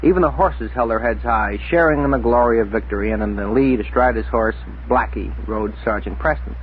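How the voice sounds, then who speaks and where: A man's voice: medium pitch, brisk, narrow, tinny audio, slight background noise. A man hosting a conversational radio interview, recorded on an old 1960s radio tape. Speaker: radio talk show host. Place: radio studio.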